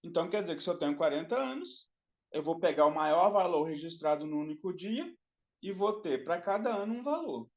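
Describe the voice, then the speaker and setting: A man's voice: high-pitched, very animated, fairly quick, dull audio. A man giving a recorded class lecture, explaining step by step, repeating himself and checking in with the students. His throat is dry and he coughs now and then.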